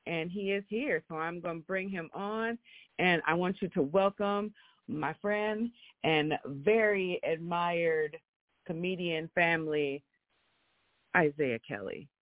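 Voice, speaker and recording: A man's voice: high voice, takes it slowly, no room sound. A man hosting a casual interview show, friendly and affirming, often repeating his guest's words back. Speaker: show host. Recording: tinny phone-like line, dry.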